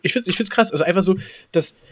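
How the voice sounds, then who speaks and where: A man's voice: high-pitched, fast, a bit bright, a little hiss or hum. A man co-hosting a podcast in casual, chatty banter, repeating himself for emphasis. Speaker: podcast co-host.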